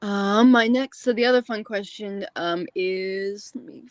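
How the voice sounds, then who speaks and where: A woman's voice: medium pitch, a little slow, dry recording. A woman hosting an interview podcast, asking questions in an informal, chatty way. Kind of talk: casual interview chat.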